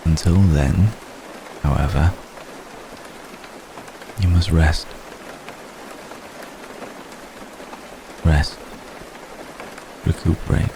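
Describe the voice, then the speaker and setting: A man playing a centuries-old, lovestruck vampire gentleman in an ASMR roleplay, speaking formally and haltingly, apologetic and tender. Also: a bass voice, gravelly, heavily acted. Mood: gentle, concerned. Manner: halting, formal, soft-spoken, deliberate phrasing. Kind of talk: ASMR roleplay, intimate dramatic monologue. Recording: steady rain noise behind the voice. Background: rain.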